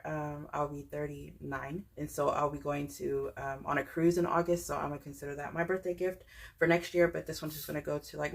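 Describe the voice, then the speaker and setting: A woman's voice: deep voice, creaky. A woman, chatting casually and rambling a little as she shows her budgeting products. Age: 30s. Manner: rambling, chatty, many fillers and restarts. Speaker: budgeting channel creator. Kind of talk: casual chat showing products.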